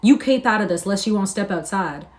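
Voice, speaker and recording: A woman's voice: medium pitch, lively intonation, brisk, darkish tone, recorded from a distance, natural voice. Woman playing a combative little ranch bully. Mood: enraged. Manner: combative, coarse rustic dialect. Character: small hot-tempered bullying man, ranch boss's son.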